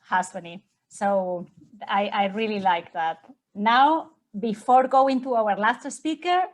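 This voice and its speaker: High-voiced woman hosting a kids' quiz. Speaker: moderator of an online school talk. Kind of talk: lively quiz hosting for children.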